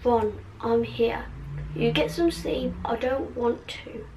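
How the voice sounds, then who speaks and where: A woman voicing a worried, devoted, stubbornly caring teenage girl witch from a story, softly and hesitantly. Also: fairly high pitch, a little slow, a little hiss or hum, natural voice, poor audio. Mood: worried, insistent.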